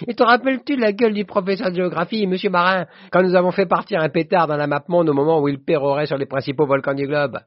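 A man voicing a boisterous, vulgar, jovial rich old school friend in an audiobook, loud and exuberant. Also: medium pitch, big pitch swings, brisk, pitched above the performer's own voice. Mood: uproarious. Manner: loud, exuberant, back-slapping familiarity. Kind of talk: audiobook character voice. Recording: dull, roomy sound.

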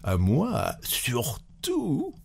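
A man playing an insistent, repetitive husband, jovial but quick to jealous fury, in a storybook reading. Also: mid-range voice, sing-song, gravelly, a little mellow, slightly rough, dry recording, studio quality, heavily acted. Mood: gleeful, coaxing. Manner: insistent, repeating himself.

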